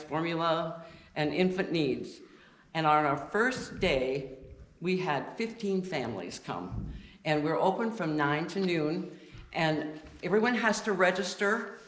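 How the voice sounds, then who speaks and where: Loud-voiced woman in an echoey church. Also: lowish voice. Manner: deliberately loud, chatty, many restarts. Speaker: guest speaker. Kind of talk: informal talk to a church congregation. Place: church sanctuary. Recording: echoey church with faint noise.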